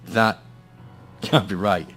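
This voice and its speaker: A man's voice: on the low side, fairly even intonation, slow, fairly bright tone, faint music behind. An actor playing a gloomy hard-boiled male detective, narrating and talking in a noir radio play with brooding drama. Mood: puzzled, uneasy.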